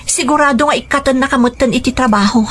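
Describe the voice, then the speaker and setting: A woman's voice: high-pitched, fast, soft background music, close to an everyday voice. An actress playing a young woman in love who pleads earnestly, in a radio drama. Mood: urgent.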